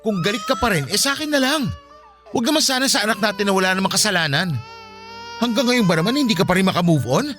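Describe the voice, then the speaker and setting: A man's voice: high voice, expressive, quick pace, over music. A man with a Filipino accent playing an aggrieved, pleading young father in a radio drama, insistent and emotional. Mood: tearful pleading.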